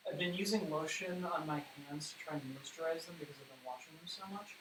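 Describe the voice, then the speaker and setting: A man's voice: fairly high pitch, fast talker, a bit bright, rough recording. A man losing his voice, hosting a livestream Q&A and teaching poi spinning in a chatty, rambling way full of fillers. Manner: chatty, rambling explanations with many fillers and restarts. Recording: home livestream with faint hiss.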